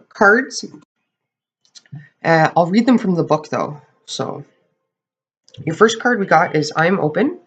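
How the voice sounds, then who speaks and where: A tarot reader with a hoarse, crackling voice from losing it, giving a casual monthly reading to camera and often checking in with the viewers. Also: medium pitch, fairly bright tone. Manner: chatty, often checks in with "okay?"; clears throat often.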